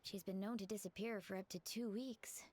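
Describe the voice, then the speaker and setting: A woman's voice: medium pitch, fairly even intonation, moderate pace, darkish tone, natural voice. A woman playing a polite, apologetic attendant in a video game, courteous and understated. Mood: calm. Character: polite, calm, apologetic funeral parlor attendant.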